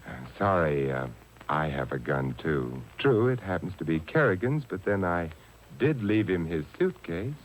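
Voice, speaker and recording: Deep-voiced, wry radio detective. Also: male voice, a little slow. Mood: coolly menacing. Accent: American. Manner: languid, deadpan, teasing understatement. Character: wry, unflappable male detective, lazily sardonic. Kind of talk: 1950s American radio detective acting. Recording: noisy old radio broadcast on cassette.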